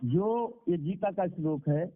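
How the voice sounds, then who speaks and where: Higher-voiced male preacher on a phone line. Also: expressive, slow. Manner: repeats phrases for emphasis. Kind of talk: devotional sermon with moral storytelling. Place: speaking over a conference call. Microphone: telephone. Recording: tinny phone conference-call line.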